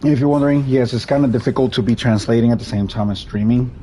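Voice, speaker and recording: A man's voice: mid-range voice, fast, mellow, slight background noise, poor audio. A young man from Puerto Rico with a Puerto Rican Spanish accent, a native Spanish speaker chatting easily through his gameplay commentary and often restarting his sentences. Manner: easygoing, rambling, restarts sentences. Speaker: streamer.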